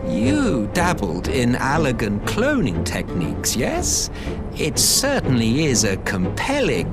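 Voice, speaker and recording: A languid, sardonic immortal villain. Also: male voice, high-pitched, full character voice. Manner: languid, sardonic, drawn-out theatrical delivery. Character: ancient immortal schemer, smug, mocking, theatrical former emperor. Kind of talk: video game voice acting, grandiloquent villain dialogue. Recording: music behind the voice. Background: game music.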